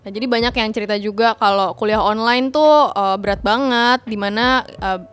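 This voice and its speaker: A woman's voice: fairly high pitch, moderate pace, clear, resonant tone. A young woman with an urban Jakarta accent hosting a casual interview in a chatty, informal way, mixing in English words. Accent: urban Jakarta Indonesian. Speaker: host.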